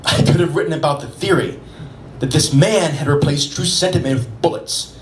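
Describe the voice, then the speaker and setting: Higher-pitched man reciting poetry, roomy sound. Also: moderate pace. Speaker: poet and open mic co-host. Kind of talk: spoken-word poetry reading. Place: live open mic venue with audience. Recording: roomy, noisy live venue recording.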